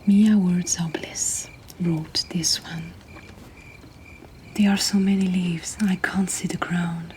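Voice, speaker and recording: A woman who is not a native English speaker reads poetry as a host in a soft, breathy, gentle whisper, in an ASMR style. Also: lowish voice, steady tone. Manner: slow, gentle, deliberate delivery. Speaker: host reading submitted poetry. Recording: nighttime cricket ambience behind, faint noise. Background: crickets and insect ambience.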